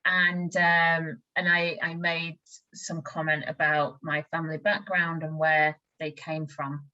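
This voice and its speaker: A woman's voice: low-pitched, level tone, slow pace, bright, forceful tone, highs cut off. A woman from West Yorkshire with a Yorkshire accent, an interviewer sharing a personal story frankly in conversation. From West Yorkshire, England.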